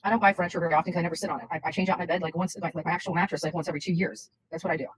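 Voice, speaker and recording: Woman, casual streamer type, raspy and extremely rapid talker, insistent and blunt. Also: fairly low pitch, steady tone, rough recording. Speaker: livestreamer. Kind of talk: casual livestream chat.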